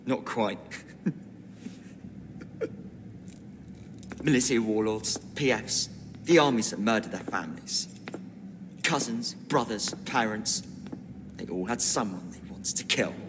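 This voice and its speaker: A man's voice: slow pace, pauses often. An actor playing a bitter, defiant adolescent boy who leads rebels in a video game, speaking in a cold, taunting way. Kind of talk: dramatic game cutscene acting. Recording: loud rumbling noise, long hall reverb.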